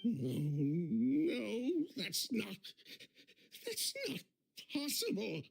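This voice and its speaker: A performer playing a desperate, fragile young man in an anime game, crying and stammering with a breathy, cracking voice. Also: mid-range voice, big pitch swings, exaggerated voice. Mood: anguished denial. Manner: halting, stammering. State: crying, shaken.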